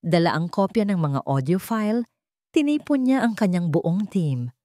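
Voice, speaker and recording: A woman with a slightly low voice narrates a dramatic story in a dry, close recording. Her pitch swings widely, and she delivers the lines deliberately, in short dramatic phrases. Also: big pitch swings, warm tone, dry recording.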